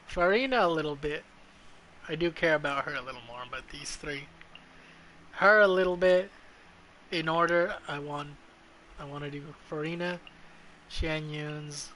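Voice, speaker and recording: A man doing casual live commentary as a game streamer, with playful, sweary, excitable asides. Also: on the high side, slight background noise, rough recording.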